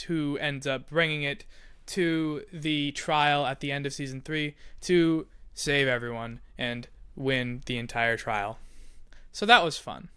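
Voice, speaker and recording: A man's voice: fairly high pitch, sharp tone, smooth, no room sound. Man casually hosting, music behind. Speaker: podcast writer and host. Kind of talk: casual explanatory commentary.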